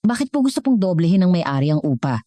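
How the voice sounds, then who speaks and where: A woman's voice: fairly low pitch, sing-song, fast, dark tone, no room sound, pitched above the performer's own voice. Woman voicing a courteous, wealthy elderly grandfather. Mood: concerned.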